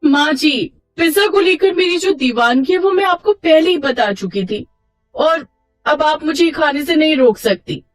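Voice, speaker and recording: A woman's voice: high voice, expressive, measured pace, faint music behind, voice pushed up, low-quality recording. A woman voicing a cheerful, stubborn young daughter-in-law, sweet but insistent, in a moral story. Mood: defiant. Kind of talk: acted moral story for children.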